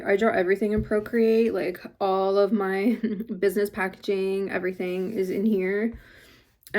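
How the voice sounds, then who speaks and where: A woman's voice: mid-range voice, darkish tone. A woman with a slight head cold, chatting casually in a studio vlog about her craft business, rambling with lots of likes and ums. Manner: rambling, filler-heavy, many likes and ums. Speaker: small craft business owner.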